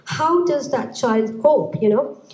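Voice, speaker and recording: Mellow woman explaining, echoey room. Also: sits high, expressive. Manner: explanatory, measured. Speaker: panelist.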